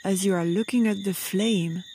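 A woman leading a guided meditation in a soft, breathy, soothing voice, with short phrases and long pauses. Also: mid-range voice, fairly even intonation, slight background noise. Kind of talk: slow guided meditation narration.

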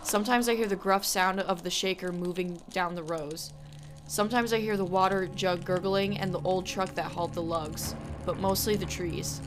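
A woman's voice: lowish voice, steady tone, moderate pace, noisy background. A young adult woman co-hosting a podcast, chatting casually and reading scary stories aloud. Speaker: podcast co-host. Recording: music under the voice. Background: background music.

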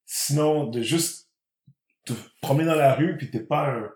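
A man's voice: fairly high pitch, unhurried. A man with a Quebec French accent, chatting casually and dropping English words into his sentences. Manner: mixes English words into speech. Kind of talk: casual conversational chat. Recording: roomy, echoey room.

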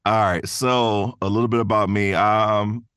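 A male podcast guest with a low, deep voice, chatting casually. He sounds easygoing and thinks aloud as he goes, recorded dry and without room sound. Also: fairly even intonation, a little slow, fairly bright tone, smooth, no echo. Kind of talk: casual chat.

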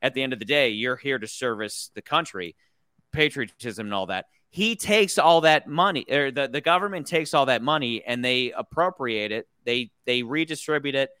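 An American man holds forth in a casual movie podcast with a bright, forceful tone and a smooth, crisp voice. he rambles, swears freely and keeps circling back to restart his points, all close to the mic in a dry room. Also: medium pitch, normal speed, no echo. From United States. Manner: rambling, opinionated, profane, frequent restarts. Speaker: podcast host. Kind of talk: casual movie review chat.

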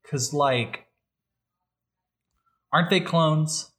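A man's voice: on the high side, drawn-out words, a bit bright. A man co-hosting a podcast, chatting informally and thinking aloud as he goes. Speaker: podcast co-host. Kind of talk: casual chat about gaming and film news.

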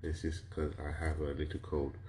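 A man with a very deep voice explains a topic to listeners, posing questions and answering them himself. His voice is slightly hoarse from a cold, and he strings his points into lists punctuated with a questioning "right?". He is from Nigeria. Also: completely flat delivery, moderate pace, mellow, a little hiss or hum. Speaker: lecturer on intellectual property. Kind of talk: explanatory lecture to an audience.

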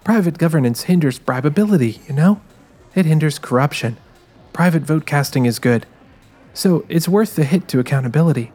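A man's voice: on the high side, lively intonation, fairly quick, darkish tone, soft background music. A man voicing a rueful, determined young woman who narrates her story in a confiding way. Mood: musing. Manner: musing, confiding. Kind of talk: first-person dramatized scripted narration.

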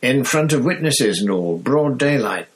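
A man voicing an excitable, gossipy young bus conductor who chatters in slang. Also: mid-range voice, a little slow, pitched above the performer's own voice, close to an everyday voice.

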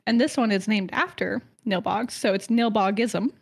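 A woman co-hosting a casual podcast, chatty and quick to laugh, telling stories with dramatic flair. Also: medium pitch, gravelly, slightly rough. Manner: chatty, giggly, dramatic storytelling. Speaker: podcast co-host presenting lore research.

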